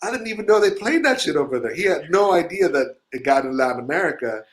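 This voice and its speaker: A middle-aged man from Chile telling rambling, profanity-laced stories in a casual chat, animated, with frequent asides. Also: fairly high pitch, fast. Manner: rambling, swearing, animated storyteller. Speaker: musician telling stories on a show.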